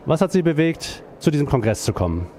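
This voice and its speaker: A man's voice: a little high, very animated, fast, mellow, a little hiss or hum. A man reporting from an event, reading a formal news narration and interviewing attendees.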